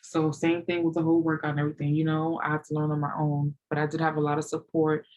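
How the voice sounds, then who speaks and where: A woman's voice: deep voice, monotone, fast talker, darkish tone, dull audio. A woman in her late thirties answering viewer questions in a loose, rambling chat, full of "you know" and "like". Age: 30s. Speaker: fitness vlogger. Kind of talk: casual Q&A chat.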